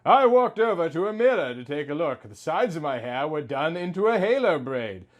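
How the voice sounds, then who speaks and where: Theatrical male story reader, swooping pitch. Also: big pitch swings, moderate pace, dull audio. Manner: exaggerated, dramatic emphasis. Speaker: host reading amateur fan fiction. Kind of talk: theatrical mock-serious story reading.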